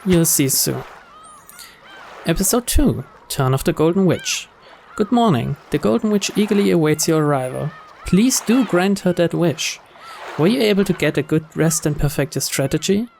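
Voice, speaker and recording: A man's voice: high voice, expressive, normal speed, gravelly, darkish tone, a little hiss or hum. A man hosting a let's play, reading a visual novel's narration aloud. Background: game music.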